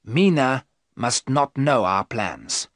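Grave elderly professor, read by a man, measured and commanding, animated delivery, dry studio sound. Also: mid-range voice, dry recording, pitched above the performer's own voice.

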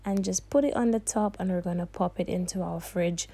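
A woman's voice: fairly low pitch, a little fast, mellow, breathy edge. Sassy, chatty woman walking through a recipe. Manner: chatty and sassy, calls viewers darling and honey. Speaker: cooking channel host. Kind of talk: cooking tutorial voice-over.

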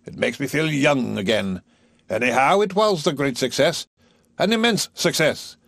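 A man playing a genial, courteous older gentleman in a play reading, chatty and well pleased. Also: mid-range voice, expressive, moderate pace, gravelly, no room sound, studio quality, voice pushed up.

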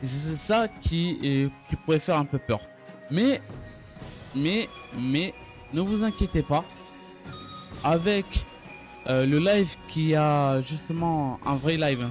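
A man giving an unscripted gaming news recap, speaking haltingly and often stumbling or repeating his phrases. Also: fairly high pitch. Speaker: gaming video creator. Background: background music.

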